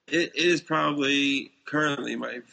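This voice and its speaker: A man's voice: on the high side, steady tone, measured pace, vocal fry, sharp tone, low-quality recording. A man co-hosting a podcast, giving blunt opinions in casual conversation. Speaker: podcast co-host.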